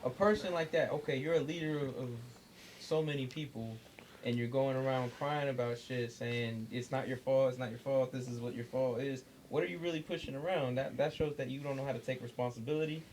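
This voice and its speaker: A man's voice: medium pitch, brisk, darkish tone, slight background noise, rough recording. A man co-hosting a podcast in casual group chat, earnest and repeating himself, swearing freely. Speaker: podcast co-host.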